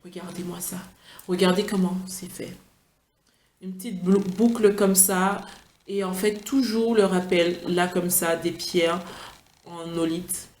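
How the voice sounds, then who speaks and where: A woman's voice: on the low side, steady tone, a little slow, darkish tone. Chatty, tired woman in an echoey room. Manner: chatty, playful, gushing with enthusiasm. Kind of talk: casual product-review vlog.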